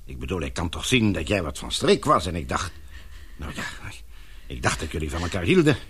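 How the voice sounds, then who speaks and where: Casting: concerned radio-drama dad, husky lowish voice, expressive, paternal and probing. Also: male voice, brisk, heavily acted. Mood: puzzled, probing. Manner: paternal, coaxing, questioning. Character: concerned, soothing father in a position of authority.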